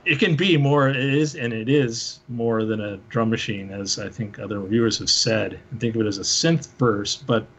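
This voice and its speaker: A man hosting a casual show-and-tell, thinking aloud in a halting way. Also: mid-range voice, a little hiss or hum. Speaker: panel host, synth musician.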